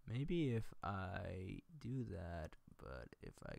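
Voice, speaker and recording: A man's voice: low-pitched, sing-song, slow, creaky, a little mellow, slight background noise. A man livestreaming casually as he works, muttering to himself and thinking aloud. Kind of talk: casual livestream chat while working.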